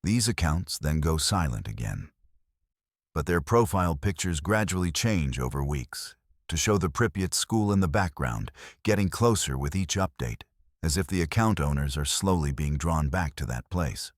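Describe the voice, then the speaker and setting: A man reading scripted horror stories as a narrator, with a measured, ominous delivery. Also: rumbling low, lively intonation, dry recording, studio quality.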